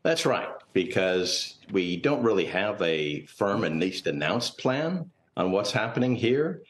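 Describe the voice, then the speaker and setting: A man's voice: lowish voice, big pitch swings, measured pace. A man speaking as a guest financial analyst, giving unscripted, cautious and analytical commentary in a TV interview. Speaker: portfolio manager and finance professor, guest analyst.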